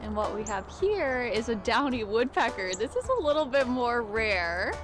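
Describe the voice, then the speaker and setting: Higher-voiced young woman, nature guide. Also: very animated, normal speed, music in the background. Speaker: community program coordinator, nature guide. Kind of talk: informal educational explanation to camera. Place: outdoors in snowy woods.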